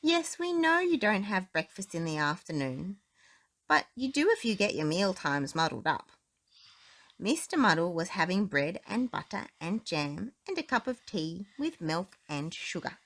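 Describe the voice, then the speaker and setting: A woman narrating a children's storybook aloud in an expressive style. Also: fairly low pitch, unhurried.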